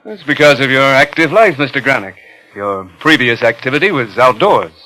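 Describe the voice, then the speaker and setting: An actor playing a scheming, controlling young killer posing as a hospital interviewer, intense and insinuating, in 1950s radio suspense style. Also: male voice, mid-range voice, expressive, normal speed, sharp tone, rough recording. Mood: probing, ingratiating. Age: young adult. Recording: old 1950s radio drama recording.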